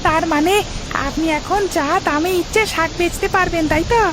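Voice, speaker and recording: A woman's voice: extremely high pitch, a little fast, noisy background. A woman voicing an eager, fawning male underling in a cartoon story. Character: squeaky, eager, flattering male underling of trader. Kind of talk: cartoon moral-story voice acting.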